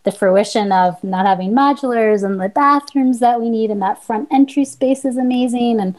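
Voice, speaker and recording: A woman's voice: fairly high pitch, moderate pace, a little mellow. A woman in her thirties, a school board member, speaking in a chatty, gushing way on a video call. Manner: gushing, chatty, uses fillers. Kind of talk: casual remarks in a board meeting.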